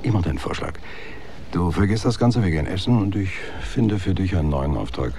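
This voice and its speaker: A man's voice: low-pitched, animated, fairly quick, mellow, slight background noise. An actor playing an impatient, pragmatic male editor in a radio drama, brusque and pressing. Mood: impatient, dismissive.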